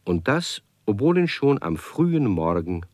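A man narrating a children's radio play in a calm, measured storytelling manner. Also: lowish voice, dry recording, studio quality.

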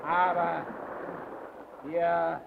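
An actor playing a brooding, self-righteous lighthouse worker in a German radio play, deliberate and solemn. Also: male voice, fairly high pitch, level tone, creaky, full character voice. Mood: solemn, cold. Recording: dull old radio recording, faint noise.

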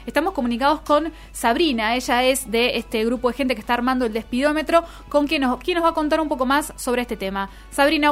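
A woman with an Argentine accent co-hosting a radio show, conversational as she comments and interviews, often adding tag questions. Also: sits high. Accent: Argentine Spanish. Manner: seeks agreement with frequent tag questions. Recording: music playing behind the voice.

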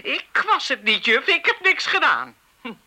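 A woman's voice: high-pitched, huge pitch swings, measured pace, bright, forceful tone, full character voice. A woman playing an embittered, guilt-ridden retired schoolteacher in a radio play, stern and lecturing in manner. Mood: righteous anger. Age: older. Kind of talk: Dutch radio play acting.

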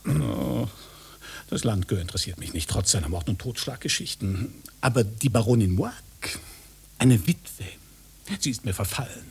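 A husky, vain would-be seducer in uniform. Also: male voice, lowish voice, expressive, moderate pace, darkish tone, heavily acted. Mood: boastful. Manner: pompous, pedantic, theatrical. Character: vain, pushy fake policeman, lecherous self-styled seducer. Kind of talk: German radio play acting.

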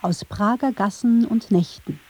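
A woman's voice: fairly high pitch, measured pace, dark tone, husky. A woman reading scripted narration for a radio documentary.

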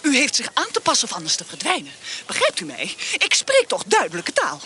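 An actress plays a proud, indignant countess in an old radio play. Her voice is high and bright and leaps all over as she scolds. Faint noise sits behind her. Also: wildly swooping pitch, a little fast, heavily acted. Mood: scolding. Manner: imperious, scolding, outraged.

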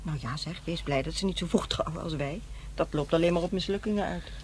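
A woman's voice: on the low side, a little fast, a little mellow, lightly acted. An actress playing a matter-of-fact, defensive middle-aged divorced mother in a radio play, with a dry, blunt delivery. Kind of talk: Dutch radio drama acting. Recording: noisy, humming radio play recording.

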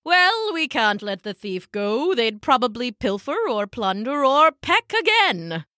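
A bumbling old gentleman penguin from a children's storybook, acted out by a woman. He talks with pompous, earnest alliteration and trips over his own ideas. The delivery is bright and animated. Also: fairly high pitch, unhurried, no room sound, clean studio sound, heavily acted. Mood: sheepish.

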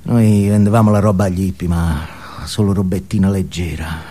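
An actor playing a boastful, foul-mouthed biker burnout in a theatrical comic monologue, with a southern Italian, Neapolitan-tinged accent. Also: male voice, deep voice, steady tone, normal speed, dark tone. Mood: brooding, nostalgic. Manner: ranting, profane, swings between outbursts and confiding storytelling. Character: foul-mouthed, hedonistic middle-aged male biker burnout, volatile and boastful. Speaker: stage actor.

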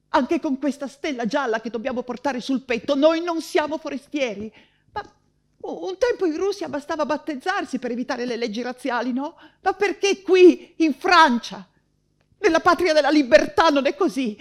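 An actress playing an anguished woman writer and mother fleeing persecution in a wartime stage monologue, theatrical and halting, with anxious repetitions. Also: high-pitched. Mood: indignant.

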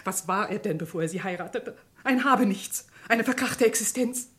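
A woman's voice: mid-range voice, moderate pace, close to an everyday voice. A woman playing a bitter, unyielding grieving mother in a German crime radio play, scornful and vehement. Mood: vehement accusing.

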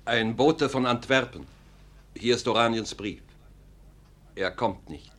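A man's voice: medium pitch, slow, fairly bright tone. An actor in a 1940s radio play voicing an anxious, loyal officer who reports to his lord in formal, terse speech. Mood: tense, foreboding.

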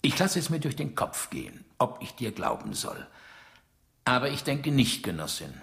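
Radio play role: patronising male dictator, gravelly, drawling, mocking, with lively swoops. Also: medium pitch, normal speed, exaggerated voice. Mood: sceptical, toying. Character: sly, patronising Soviet dictator toying with a young woman. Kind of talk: radio drama acting.

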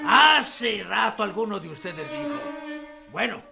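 Creaky, high-voiced questioning Mexican soldier. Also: male voice, animated, slow pace, over music, exaggerated voice. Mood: reproachful. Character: questioning, sober revolutionary soldier, male, weighing the defeat. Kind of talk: radio drama acting.

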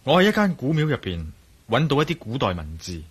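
A man's voice: medium pitch, big pitch swings, brisk, breathy edge. An actor playing a determined male adventurer in a Cantonese radio drama, speaking firmly and decisively with a Hong Kong accent. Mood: earnest. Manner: firm, urgent, decisive. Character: determined, commanding male adventurer on an urgent mission.

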